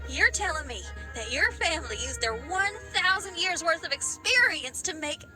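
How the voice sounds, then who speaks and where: A young rock musician girl in a game, spirited and warm. The voice is high and very animated, with folksy, expressive phrasing. Music plays clearly behind it. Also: moderate pace. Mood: surprised, touched. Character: spirited young female rocker, warm, easily startled. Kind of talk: animated video game voice acting.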